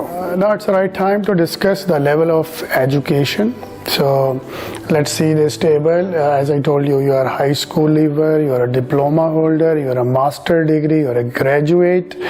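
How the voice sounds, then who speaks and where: Mellow-voiced man explaining points patiently. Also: fairly high pitch. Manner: halting, many "uh" fillers, repeats numbers; patient, addresses the viewer directly. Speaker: immigration consultant. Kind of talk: explanatory presentation.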